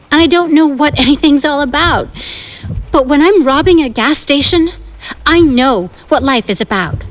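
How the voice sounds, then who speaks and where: A woman playing a bossy, thrill-seeking teenage gang leader in a 1950s audio drama, dramatic and restless in manner. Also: high voice.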